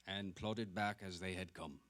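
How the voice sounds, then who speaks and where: A man's voice: low-pitched, fairly even intonation, measured pace, a bit bright. A man narrating literary prose in a scripted dramatic reading.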